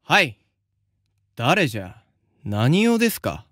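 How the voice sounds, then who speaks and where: An actor playing a confident, kindly senior samurai student with a Yamaguchi accent and old-fashioned turns of speech, in a voice drama. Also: male voice, medium pitch, huge pitch swings, slow, a bit bright, no echo, studio quality, heavily acted. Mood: affable. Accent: Yamaguchi (Choshu). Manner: old-fashioned, breezy authority. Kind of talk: anime-style voice drama.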